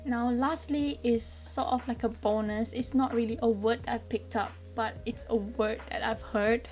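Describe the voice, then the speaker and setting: A woman's voice: a little high, steady tone, moderate pace, darkish tone. A woman in her twenties from Malaysia with a Malaysian accent, explaining things in a chatty, friendly way with frequent ums. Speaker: vlogger. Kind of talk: casual explainer vlog.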